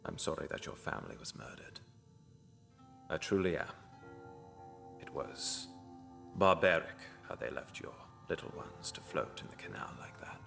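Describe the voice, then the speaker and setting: Grim mysterious male healer, deep creaky voice, measured and ominous, commanding yet consoling, audio drama. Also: expressive, a bit bright, soft background music, heavily acted. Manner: measured, ominous, formal phrasing.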